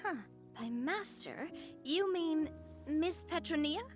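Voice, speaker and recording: An actress playing a lively, confident young woman leader who speaks in an animated, teasing way. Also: sits high, slow. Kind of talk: video game voice acting. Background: game music.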